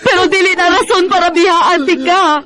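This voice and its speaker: A high-voiced wife in a radio drama. She speaks with open emotion, loving yet quick to show hurt and sharpness. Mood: pleading, crying. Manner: expressive, emotional delivery.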